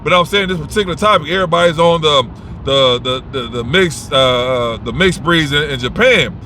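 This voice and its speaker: A man's voice: fairly high pitch, expressive, a little fast, pushed and bright, a little hiss or hum. A man giving advice in a casual, unscripted monologue, blunt and rambling, swearing freely and restarting his sentences.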